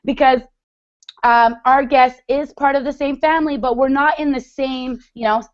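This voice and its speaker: A woman's voice: high voice, steady tone, normal speed, dry recording, highs cut off. A woman around thirty hosting an online hangout, introducing a guest in an effusive, upbeat way. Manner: effusive and upbeat, heavy use of "super". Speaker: host. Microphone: video call.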